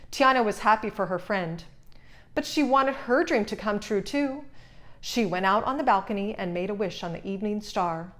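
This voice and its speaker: A woman reads a children's storybook aloud. Her pitch sits in the middle for a woman, and she delivers scripted narration. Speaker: storybook reader.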